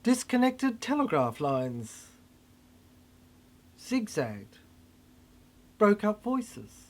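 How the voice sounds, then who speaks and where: A narrator performing a theatrical spoken-word monologue in an emphatic way, with halting repeats. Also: mid-range voice, sing-song. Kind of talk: dramatic spoken-word narration of a cryptic science-fiction monologue.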